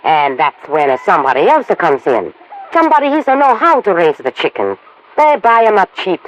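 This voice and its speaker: A woman's voice: on the low side, big pitch swings, normal speed, muffled recording. A woman playing a blunt, suspicious immigrant Italian farm wife with an Italian accent and broken English in an old American radio drama. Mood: blunt, grumbling. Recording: old radio broadcast recording.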